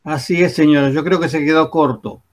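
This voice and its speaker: A man's voice: fairly high pitch, steady tone, fairly quick. A man patiently explaining history as a storyteller in a radio drama.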